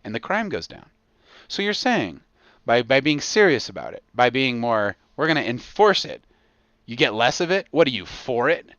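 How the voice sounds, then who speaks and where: An American man hosting a casual comedic podcast, talking in an excitable, profane way with punchy repetition. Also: medium pitch, normal speed, dry recording, muffled recording. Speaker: podcast host.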